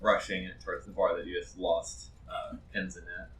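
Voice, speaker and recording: A man with a low, clear-edged bright voice runs a tabletop game. He narrates scenes in an easy conversational way. There is faint background noise on the recording. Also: flat delivery, normal speed. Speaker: game master.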